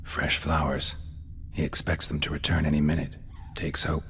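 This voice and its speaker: A world-weary fantasy game monster hunter in an extremely low, murmuring voice. He speaks in clipped, deadpan observations, like someone coolly working through clues. Some light ambient noise sits behind him. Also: moderate pace. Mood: pensive, muttering. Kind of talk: video game voice acting.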